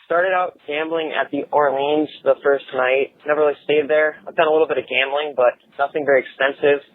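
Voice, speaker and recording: A man's voice: fairly high pitch, steady tone, brisk, slight background noise. American man on a tinny phone voicemail. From Minnesota, United States. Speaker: voicemail caller. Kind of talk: trip report on voicemail.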